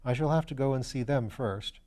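A man's voice: mid-range voice, a little fast, warm tone, close to an everyday voice. A man voicing a sensible, sceptical detective with a dry, plain-spoken manner in a storybook reading. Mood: dry, doubtful.

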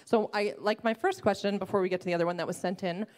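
A woman's voice: medium pitch, steady tone, fast talker, big-room sound. A middle-aged woman, chatting and asking questions on a church stage, thinking aloud with a casual, searching way of talking. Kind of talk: conversational stage discussion. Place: church stage, large hall. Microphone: handheld mic.

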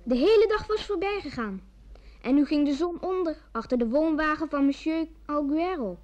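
A woman's voice: sits high, animated, moderate pace, darkish tone. A woman narrating a scripted radio play as a storyteller. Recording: dull vintage radio play recording.

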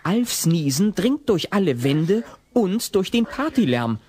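A man's voice: sits high, very animated, a little slow, a little mellow. A man narrating a scripted comedy audio play as its storyteller.

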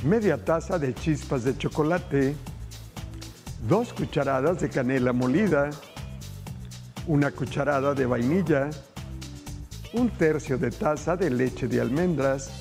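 A man's voice: on the high side, measured pace, vocal fry, pauses often, a little mellow, soft background music. A middle-aged man hosting a cooking show, cheerfully walking viewers through a recipe. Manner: enthusiastic, addresses viewers directly. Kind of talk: cooking demonstration. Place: TV studio kitchen set.

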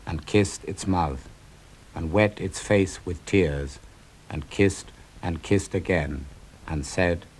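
Slow grave older man reading epic verse. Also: deep voice, a little mellow, a little hiss or hum, low-quality recording. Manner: slow, grave and declamatory, sweeping up for climaxes. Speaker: narrator reading poetry. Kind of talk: dramatic poetry reading.

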